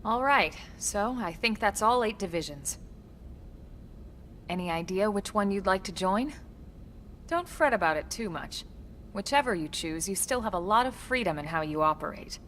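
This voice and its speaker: An actress playing a composed, capable military team leader in a video game, with a measured, assured delivery. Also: female voice, medium pitch, fairly bright tone, slight background noise, lightly acted. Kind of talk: video game cutscene acting.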